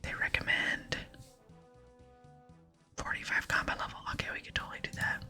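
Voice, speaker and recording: A woman whispering softly and unhurriedly close to the microphone as she hosts an ASMR gaming playthrough. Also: moderate pace. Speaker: gaming video host. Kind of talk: whispered ASMR game playthrough with reading aloud. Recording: close whisper with music behind.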